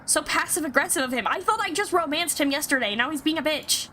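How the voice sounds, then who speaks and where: A woman's voice: high voice, animated, quick pace, bright, forceful tone, a little hiss or hum. A woman streaming a visual novel, reading aloud and reacting with playful, sarcastic, sweary commentary. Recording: music behind the voice. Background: game music.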